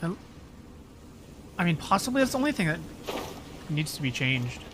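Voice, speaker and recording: A man's voice: on the high side, big pitch swings, normal speed, background noise. A young man streaming a game, muttering wryly to himself as he puzzles through it. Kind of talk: casual gameplay commentary. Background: game music.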